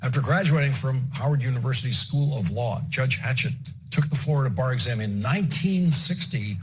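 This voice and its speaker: A man narrating a news report in a measured, pointed delivery. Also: mid-range voice, expressive, soft background music, low-quality recording.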